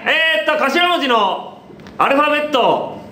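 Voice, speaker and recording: A man's voice: lively intonation, moderate pace, bright, forceful tone. A young man playfully hosting a quiz, teasing and mock-bossy, on a noisy webcast from an echoey studio. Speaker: quiz host. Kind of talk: playful game-show banter. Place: small indoor studio, close to camera. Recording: echoey room, noisy low-quality webcast.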